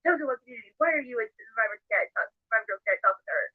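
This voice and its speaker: A woman chatting casually on a live stream, repeating herself haltingly and quoting what others say. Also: fairly high pitch, animated, dry recording. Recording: narrow phone-like audio, played clip.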